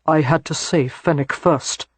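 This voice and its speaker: A man's voice: sits high, lively intonation, measured pace, slightly rough, no echo, heavily acted. A man voicing a steely, protective male war hero who speaks in a firm, clipped way. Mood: cool.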